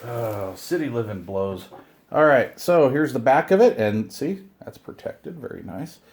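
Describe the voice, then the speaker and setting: A man's voice: medium pitch, very animated, a little slow, a little mellow. Middle-aged male host for casual unboxing videos; mid-range voice, rambling, chatty delivery with self-deprecating grumbles. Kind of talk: casual unboxing chat to camera.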